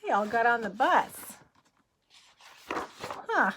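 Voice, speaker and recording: A woman reading a picture book aloud as a kindergarten teacher, gently and expressively, with questions to the listeners. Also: a little high, big pitch swings, slow pace, a little hiss or hum.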